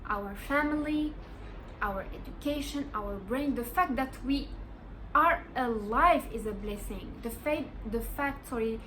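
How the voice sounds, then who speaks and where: Slow-talking bright-voiced woman vlogger. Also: fairly high pitch, a little hiss or hum, low-quality recording. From Morocco. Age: 20s. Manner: earnest, encouraging, emphatic repeats. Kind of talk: casual motivational vlog.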